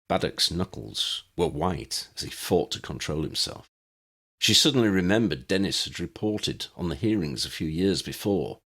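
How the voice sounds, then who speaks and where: A man's voice: low voice, fairly bright tone, breathy edge. A man reading scripted fiction as an audiobook narrator.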